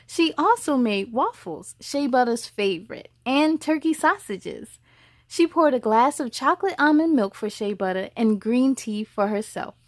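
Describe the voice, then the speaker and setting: A woman's voice: on the high side, animated, a little slow, dry recording, studio quality. A woman reading a children's storybook aloud as the host, with casual asides.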